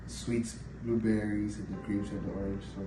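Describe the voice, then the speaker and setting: A man's voice: fairly low pitch, little pitch movement, normal speed. A man from Ghana, talking casually and conversationally as a guest on a show. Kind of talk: casual conversational life story. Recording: echoey, distant mic, loud music behind.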